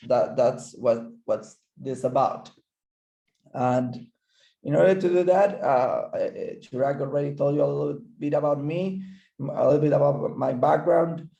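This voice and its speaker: A man whose first language is Spanish, speaking English with a Spanish accent, gives an online physiotherapy lecture, often halting and repeating words as he searches for English. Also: medium pitch, vocal fry, mellow, echoey room. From Chile. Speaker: physical therapist presenter.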